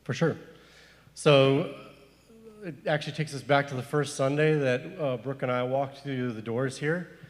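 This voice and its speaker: A man's voice: medium pitch, steady tone, measured pace. Man telling a story in a reverberant hall. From Zimbabwe. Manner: earnest storytelling with restarts. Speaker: panelist, missionary worker. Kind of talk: panel discussion. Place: church hall. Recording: echoey hall.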